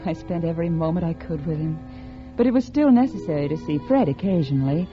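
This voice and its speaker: A restless wife confides a secret love in a low, polished, intimate voice. It is 1940s radio drama, on a dull old recording with music behind her. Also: animated, quick pace, dark tone. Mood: confessional, brooding. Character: restless young married woman confessing a secret love affair. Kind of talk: 1940s radio drama acting, first-person confessional narration.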